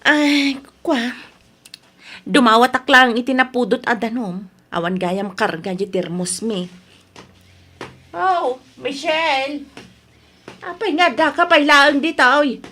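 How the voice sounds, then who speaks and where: A woman's voice: high-pitched, slow, pauses often, pushed and bright, full character voice. A woman playing a chatty, inquisitive visitor in an audio drama, with an Ilocano accent. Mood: curious.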